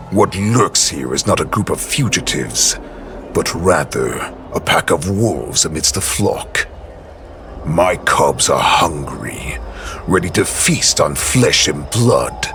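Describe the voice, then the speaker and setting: A menacing wolf-beast warlord in a game cutscene, with an extremely deep voice and gravelly grit. He talks slowly and taunts, his pitch swinging widely with lively menace. Music plays clearly behind him. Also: full character voice. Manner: slow, taunting, threatening. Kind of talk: video game cutscene acting.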